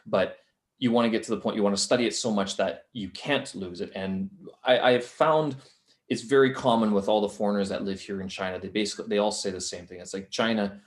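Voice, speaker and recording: A man hosting a podcast, chatting casually with a guest and adding joking asides. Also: on the low side. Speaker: podcast host. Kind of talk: casual interview chat.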